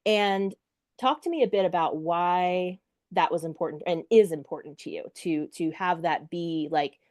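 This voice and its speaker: A woman with a notably low voice hosts a relaxed podcast interview. She offers frequent supportive murmurs and loose, filler-filled questions as she chats with her guest. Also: normal speed, dry recording, studio quality. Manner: warm and affirming, with many "mm-hmm" and fillers. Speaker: podcast host. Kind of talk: casual interview chat.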